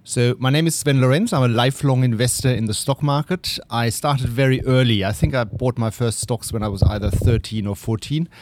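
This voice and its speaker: A middle-aged man from Germany with a German accent, answering interview questions in a relaxed storytelling way, often trailing off quietly. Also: mid-range voice, brisk, hardly any pauses, no room sound. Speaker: guest investor.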